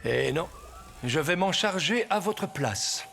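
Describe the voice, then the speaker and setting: An actor playing a scheming, flattering male henchman, speaking formally and persuasively in a French-dubbed cartoon. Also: fairly high pitch, takes it slowly, gravelly, heavily acted. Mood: grandly flattering.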